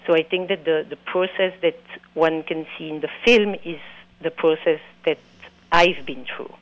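A woman's voice: low voice, steady tone, normal speed, no echo, narrow, tinny audio. A woman from Belgium, a native French speaker with a Belgian accent, being interviewed as a filmmaker and searching for her words. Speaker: interview guest, documentary filmmaker. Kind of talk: radio interview.

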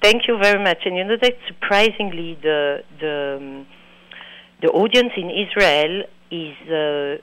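A woman's voice: lowish voice, a bit bright, dry recording, poor audio. Belgian woman with French accent. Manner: earnest, halting, repeats words. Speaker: documentary filmmaker guest. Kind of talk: interview answers.

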